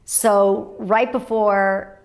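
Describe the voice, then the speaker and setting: A woman's voice: mid-range voice, little pitch movement, very slow, gravelly. A woman telling a personal wedding story in a candid, chatty way, with fillers. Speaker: interviewee.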